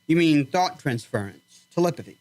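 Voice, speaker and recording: A man's voice: on the high side, expressive, normal speed, a little mellow, lightly acted, rough recording. An actor playing a composed male military psychiatrist in a science fiction radio drama, questioning in a clinical, methodical way. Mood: skeptical probing.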